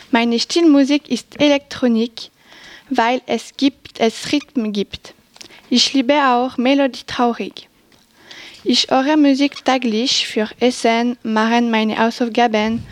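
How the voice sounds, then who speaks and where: A woman's voice: a little high, dry recording. A female student gives a classroom presentation in careful, broken learner phrasing.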